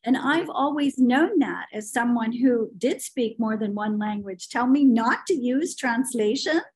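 A woman's voice: a little high, measured pace, husky. A middle-aged woman talking about language teaching in a podcast conversation, opinionated and telling stories, with frequent fillers.

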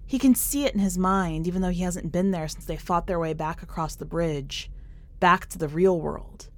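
A woman reading a short story in an expressive, scripted narration style. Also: on the low side, moderate pace. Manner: expressive, acts out dialogue.

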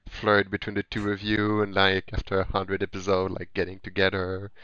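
A man's voice: low-pitched, monotone, moderate pace, sharp tone, clear, resonant tone, no room sound, highs cut off. A man from Canada joining a podcast, speaking in a hesitant, laid-back way. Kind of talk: casual chat.

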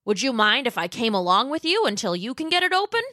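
A woman voicing a curious, easygoing young male dinosaur in a low, slow, drawling voice. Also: very animated, sharp tone, no room sound, studio quality.

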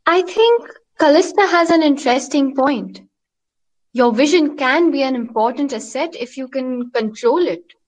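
A woman plays a measured scientist in an audio drama. Her voice is high, and she speaks like someone giving counsel. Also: expressive, poor audio. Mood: advising. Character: advising, measured woman scientist with hypnotic gas.

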